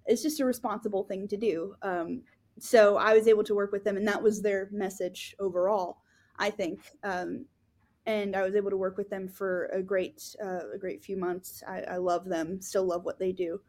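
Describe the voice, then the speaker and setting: A woman co-hosting a podcast, telling her own story in an earnest, casual way, often pausing with ums and restarting her sentences. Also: medium pitch, fairly even intonation, darkish tone. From Florida.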